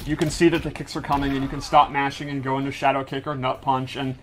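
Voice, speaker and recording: A man's voice: mid-range voice, monotone, fast. A man who works as a game developer, giving a casual, enthusiastic walkthrough of gameplay mechanics. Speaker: game developer demonstrating a fighting game character. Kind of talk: casual explanatory gameplay commentary.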